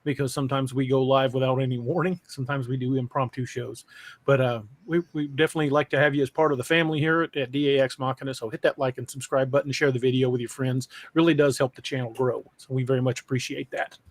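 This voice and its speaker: A man's voice: mid-range voice, steady tone, quick pace. An American man hosting a casual livestream podcast in an informal, conversational way. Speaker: podcast host.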